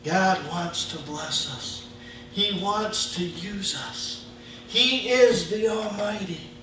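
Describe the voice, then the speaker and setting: A male pastor with a Midwestern American accent preaching a sermon in a church, slow and emphatic with casual grammar. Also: high-pitched, sharp tone. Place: church sanctuary. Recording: echoey church from far off, faint hum.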